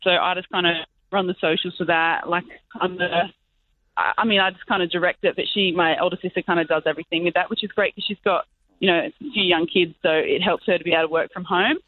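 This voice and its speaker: A woman's voice: on the low side, fairly even intonation, quick pace, no echo. A young Australian woman, a professional basketball player, chatting as a guest in an upbeat, talkative way with lots of "like" and "you know". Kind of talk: casual interview chat. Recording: tinny phone line.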